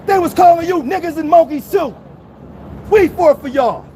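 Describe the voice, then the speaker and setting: High-pitched shouting man. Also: moderate pace. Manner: shouting, emphatic, profane. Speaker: protest speaker. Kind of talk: impassioned street speech, then street chat. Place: outdoor city street protest.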